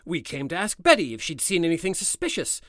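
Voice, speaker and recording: A haughty banker in an audio mystery. He sounds smug, indignant and dismissive, with dry sarcasm and a lively, swinging melody. The recording is close and dry. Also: on the high side, fast talker, no echo. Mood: accusing. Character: smug, indignant male banker accused of villainy. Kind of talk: audio drama acting.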